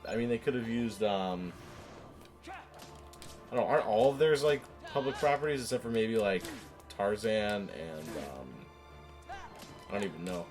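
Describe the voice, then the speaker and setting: A man's voice: on the low side, lively intonation, measured pace, pauses often, darkish tone, soft background music. A man co-hosting a gaming show, chatting casually over gameplay in a teasing, opinionated, rambling way.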